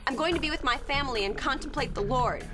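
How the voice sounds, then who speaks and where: Woman playing a sharp, high-voiced scolding mother. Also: fast talker, over music, close to an everyday voice. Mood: curt, dismissive. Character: stern pious small-town mother, curt and scolding. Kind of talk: audio drama acting.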